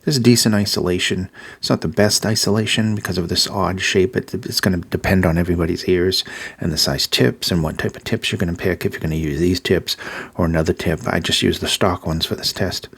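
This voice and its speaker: A man with a Massachusetts accent giving a casual, rambling gear review, filling pauses with ums and uhs and repeating his praise. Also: low-pitched, fairly even intonation, gravelly, husky. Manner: filler-heavy, repeats praise words.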